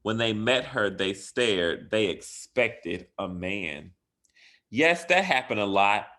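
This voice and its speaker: Male school administrator, low voice, slow deliberate read-aloud, animated storyteller. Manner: animated storytelling with big rises in emphasis. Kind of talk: reading a picture book aloud to children.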